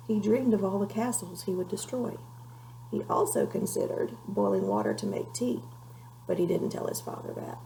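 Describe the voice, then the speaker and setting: Female storybook reader: lively, mellow, heavy vocal fry, measured dramatic delivery, slight background hum. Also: lowish voice, low-quality recording. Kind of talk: expressive children's storybook narration.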